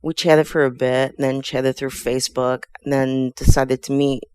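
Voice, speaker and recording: A middle-aged woman from Chicago with an American accent, giving a halting, emotional spoken tribute to a friend. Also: rumbling low, little pitch movement, darkish tone, clean tone, dry recording. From Chicago, USA. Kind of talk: heartfelt spoken tribute, personal reminiscence.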